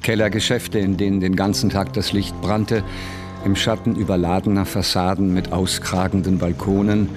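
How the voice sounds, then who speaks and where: An actor playing a reflective, melancholy narrator in a radio drama, speaking in a measured, deliberate way. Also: male voice, low voice, level tone, natural voice. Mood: melancholy observing. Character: reflective literary narrator, melancholy, observing old Berlin. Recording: music behind the voice.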